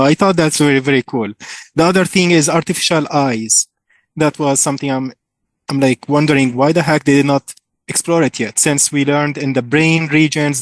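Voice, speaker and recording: An eager man in his thirties, raised in Syria with Arabic as his first language, pitches his startup to a conference crowd. His voice sits a little high and sounds close and dry, with little room around it. He talks loosely and keenly, thinking aloud with fillers. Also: dry recording. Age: 30s. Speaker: startup founder presenting.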